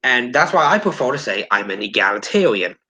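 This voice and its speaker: A man's voice: medium pitch, sing-song, a little fast, crowd noise. A man giving blunt opinions in a casual discussion, repeating his points for emphasis. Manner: blunt, sweary, emphatic repetition.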